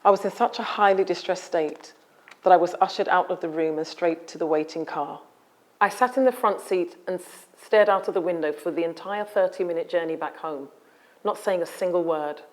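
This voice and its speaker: A woman author speaking in a measured, articulate and solemn way about personal experience. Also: fairly low pitch, darkish tone. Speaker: author and guest. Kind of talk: reading a memoir aloud, then interview talk.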